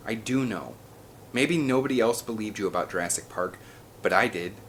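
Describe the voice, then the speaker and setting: A man voicing a small, stubborn young girl in an audiobook, earnest, quiet and defensive. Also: fairly low pitch, moderate pace, slight background noise. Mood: quietly defensive. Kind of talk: audiobook character dialogue.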